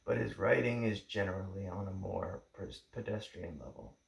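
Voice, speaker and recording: Low, creaky-voiced man reading aloud. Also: normal speed. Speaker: amateur audiobook reader. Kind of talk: book introduction read aloud. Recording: roomy, echoing home recording.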